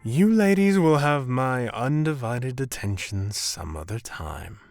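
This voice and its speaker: A man playing a suave, teasing demon who poses as a student and speaks with theatrical politeness in an animated roleplay. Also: mid-range voice, unhurried, no echo, faint music behind. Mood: suave, coy. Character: smug, suave male demon posing as a student. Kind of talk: scripted animated roleplay acting.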